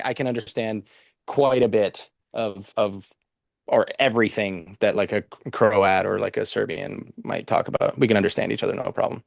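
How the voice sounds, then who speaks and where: A man's voice: mid-range voice, gravelly, no room sound, studio quality. A man from Canada, a podcast guest, chatting casually with lots of fillers and restarts. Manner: rambling, many fillers and restarts. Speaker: podcast guest, nutrition professional. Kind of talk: casual interview chat.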